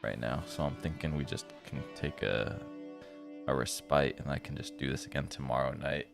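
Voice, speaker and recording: Tired chill guy streaming a visual novel, super low voice, slangy asides, music behind him. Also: moderate pace, creaky. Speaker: game streamer. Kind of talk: live stream reading and casual commentary on a visual novel.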